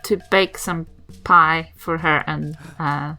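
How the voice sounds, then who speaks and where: A woman with a New Zealand accent and a bright voice plays in a tabletop game. Her banter is casual and improvised, with soft music behind. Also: deep voice, steady tone, takes it slowly.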